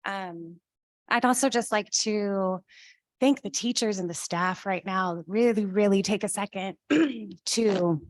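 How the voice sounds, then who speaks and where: Woman, board member, hoarse, earnest, grateful, dry close sound. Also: mid-range voice, slow pace, dry recording. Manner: earnest, grateful, many fillers. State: losing her voice. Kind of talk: formal meeting remarks. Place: board meeting room.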